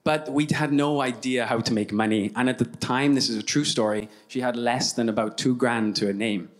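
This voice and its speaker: A man's voice: mid-range voice, fairly even intonation, a little fast. A young man with a Welsh accent telling stories with self-deprecating humor in a keynote talk on a conference stage, speaking into a handheld mic. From Wales. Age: young adult. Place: large conference hall stage.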